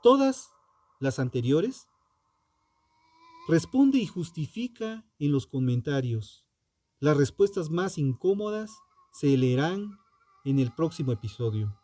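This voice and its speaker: Slow, dark-toned male true crime narrator. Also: a little high, sing-song, no echo. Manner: solemn, deliberate, ominous rhetorical delivery. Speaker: true crime podcast host. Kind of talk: dramatic scripted narration.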